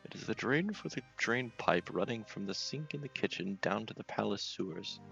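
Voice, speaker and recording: A man's voice: on the low side, animated, normal speed, creaky, a bit bright, dull audio, soft background music. A man voicing an aging, bumbling, sceptical knight with a blustering, hesitant manner. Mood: sheepish.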